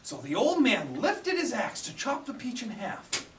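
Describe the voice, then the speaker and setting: A man telling a story live to children as a puppet show host, in an animated way, prompting them to join in. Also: very high voice, measured pace. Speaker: puppet theater storyteller and director. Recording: echoey room, distant mic, faint noise.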